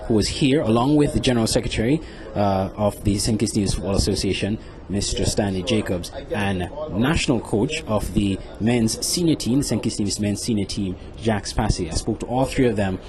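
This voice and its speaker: A man reporting on sports for a broadcast news programme from a noisy location. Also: on the low side. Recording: noisy location recording with loud background.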